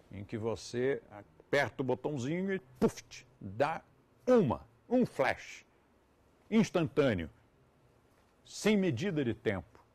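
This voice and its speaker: A man's voice: medium pitch, creaky, darkish tone. A man in his seventies with a Brazilian Portuguese accent, giving a live lecture to students in a conversational way with ironic asides. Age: 70s. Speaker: yoga teacher.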